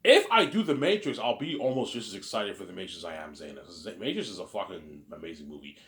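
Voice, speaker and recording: Deep-voiced man, mild Canadian accent, loose rambling solo talk full of restarts. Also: very animated, fast, a bit bright. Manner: rambling, repeats and restarts words. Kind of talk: casual monologue.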